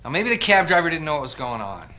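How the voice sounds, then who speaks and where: A man's voice: a little high, very animated, fast talker, pushed and bright. An actor playing a reassuring, disbelieving middle-aged father, reasoning insistently in a naturalistic drama.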